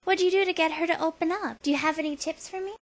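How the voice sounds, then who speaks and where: A woman voicing a sweet, earnest teenage schoolgirl in an anime fan dub, polite and gently persuasive. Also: extremely high pitch, fairly even intonation, very fast. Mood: sweet, coaxing.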